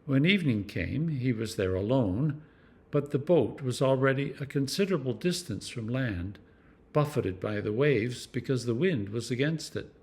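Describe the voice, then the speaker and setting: A man reading scripture aloud as a clergyman, in an expressive, measured storytelling manner. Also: mid-range voice. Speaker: clergyman reading scripture for a church meditation series.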